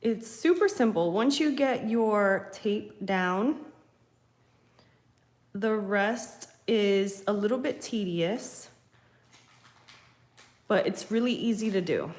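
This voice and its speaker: A sniffly young woman, still getting over a cold, walks viewers through a craft step by step. She speaks slowly and chattily, talking to her audience as she works. Recorded on a phone held above her craft table at home. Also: medium pitch, a little mellow. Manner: slow, chatty, talks to viewers while working. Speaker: craft channel host. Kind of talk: live craft tutorial, casual.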